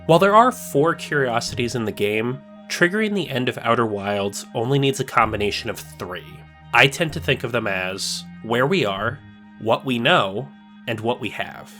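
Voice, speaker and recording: Male video essayist, reflective scripted voice-over, deliberate pacing, faint guitar bed. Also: medium pitch, bright, forceful tone. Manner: measured, reflective, slowing for emphasis. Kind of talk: scripted narration.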